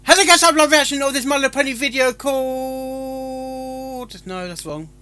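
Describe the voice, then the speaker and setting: A man's voice: very high-pitched, moderate pace, sharp tone, faint music behind. A man chatting casually as a live-stream reactor, stumbling over his words and interrupting himself. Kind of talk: casual live-stream chat with rehearsed intros.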